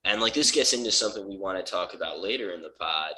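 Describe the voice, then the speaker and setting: A man's voice: deep voice, animated, fast, sharp tone, rough recording. A man co-hosting a podcast in casual chat, agreeing often and talking in short phrases. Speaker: podcast co-host.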